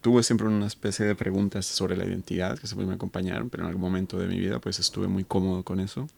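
A man's voice: deep voice, steady tone, fast, vocal fry, darkish tone, husky. A man in his thirties from Colombia, a guest on a radio interview, answering thoughtfully with many hesitations. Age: 30s. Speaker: guest researcher.

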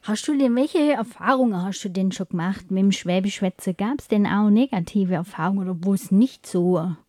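A woman with a Swabian accent hosts a live radio interview and leads a friendly quiz. Her tone is warm and relaxed. The studio sound is dry. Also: mid-range voice, moderate pace, dry recording. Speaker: radio host.

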